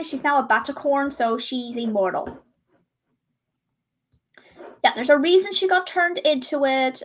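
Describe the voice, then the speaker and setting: A young woman chatting casually while drawing on a livestream, rambling and correcting herself, her voice a little strained. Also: high-pitched. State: voice gone high and strained.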